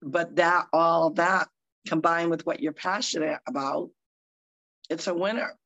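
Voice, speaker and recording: A woman co-hosting a live talk show over a video call, hoarse and scratchy, chatting in an upbeat, encouraging way. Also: lowish voice, fairly even intonation, measured pace, fairly bright tone, dull audio. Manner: upbeat, encouraging, rambling asides. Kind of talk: casual motivational chat.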